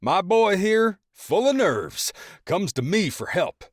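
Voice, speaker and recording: A man voicing a boastful father loudly mocking his son in front of the family. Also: sits high, sing-song, slow pace, no room sound, studio quality, voice pushed up, heavily acted. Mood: gleeful mocking. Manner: loud, mocking, laughing storyteller. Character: boastful teasing middle-aged father, loud.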